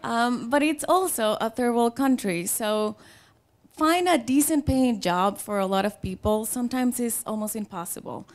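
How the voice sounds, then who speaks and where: A middle-aged woman from the Dominican Republic with a Dominican Spanish accent, a native Spanish speaker, telling a personal story live in a conversational, playful way with dramatic pauses. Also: fairly high pitch, expressive. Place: live storytelling show before an audience.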